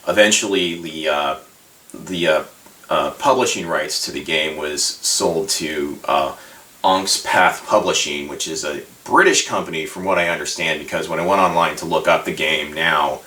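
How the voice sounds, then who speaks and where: A man with a low, bright-edged voice talks casually and off the cuff, like a video host looking back on a subject. His delivery halts and rambles, feeling its way tentatively through each thought. He sounds set back from the microphone in an echoey room. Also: distant mic. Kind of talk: casual unscripted retrospective talk.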